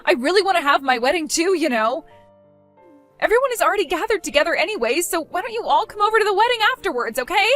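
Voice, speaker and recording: A woman's voice: very high voice, lively intonation, fast talker, fairly bright tone, soft background music. A woman playing a self-centered young bride who whines and pleads with her sister, in a scripted drama. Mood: whiny.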